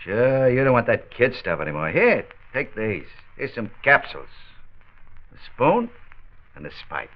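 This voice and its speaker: An actor playing a slick, callous, streetwise drug dealer with a breezy, tough manner in a 1940s radio drama. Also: male voice, medium pitch, huge pitch swings, a little slow. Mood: slick selling. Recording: dull lo-fi old radio recording.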